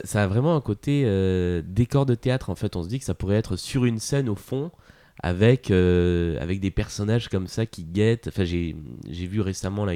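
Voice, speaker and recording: A man with a low, mellow voice joins a casual chat. He speaks reflectively, pausing to search for the right words. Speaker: guest.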